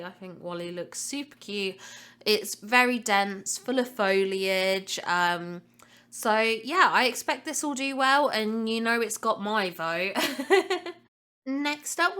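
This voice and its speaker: A woman's voice: mid-range voice, bright, forceful tone, clear, resonant tone. A young woman hosting a casual gaming vlog, chatty and enthusiastic and slightly congested from a cold. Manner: chatty and enthusiastic, repeats words for emphasis. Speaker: gaming channel host. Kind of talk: casual game commentary vlog.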